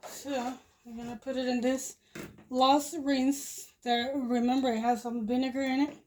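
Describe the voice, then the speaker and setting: A woman's voice: sits high, level tone, moderate pace, a little mellow. Mexican-accented woman chatting while washing dishes. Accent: Mexican Spanish. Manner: rambling, restarts sentences, chuckles often. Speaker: homestead vlogger. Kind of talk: casual chat while doing chores. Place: home kitchen by a wood stove. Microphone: phone camera propped nearby.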